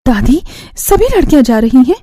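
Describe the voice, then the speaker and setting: A woman's voice: high-pitched, expressive, normal speed, dark tone, a little hiss or hum. A woman voicing an aggrieved twenty-year-old daughter, pleading and protesting in a family cartoon. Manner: plaintive, protesting. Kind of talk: Hindi family cartoon voice acting.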